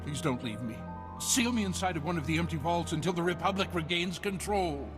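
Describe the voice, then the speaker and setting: An actor playing a frightened, tortured scientist in a video game, earnest and grave. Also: male voice, fairly high pitch, animated, fairly quick, lightly acted. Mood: shaken, urgent. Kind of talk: dramatic video game voice acting. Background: music behind the voice.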